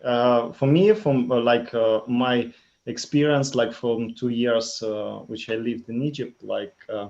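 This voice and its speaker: A man with a Russian accent, Russian native, co-hosting a casual interview, speaking haltingly with many fillers. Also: medium pitch, fairly even intonation, vocal fry, a little mellow. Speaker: co-host. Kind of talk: casual video-call interview. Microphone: video call. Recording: lo-fi video call audio.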